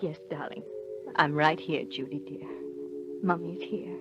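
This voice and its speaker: A worried widowed mom, shaky tender delivery, muffled old radio with music swelling behind. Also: female voice, low voice, fairly even intonation, a little slow. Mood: tender, anxious. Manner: faltering, emotional. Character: distraught, tender young widowed mother. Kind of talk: vintage radio drama acting. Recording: dull old radio recording with loud music.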